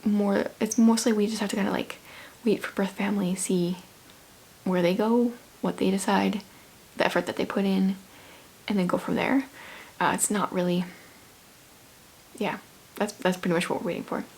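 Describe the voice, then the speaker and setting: A woman from the Canadian prairies giving a rambling, casual vlog update, her voice hushed and breathy at times. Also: mid-range voice, steady tone, moderate pace, long pauses, rough recording. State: sore throat, losing her voice. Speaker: vlogger. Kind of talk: casual chat.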